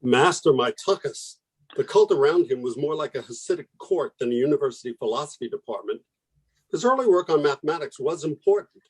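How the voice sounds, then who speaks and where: A man's voice: a little high, expressive, a little fast, husky, poor audio. A man acting in a radio play as an irreverent, sardonic young mathematician with an emphatic way of talking. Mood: scornful.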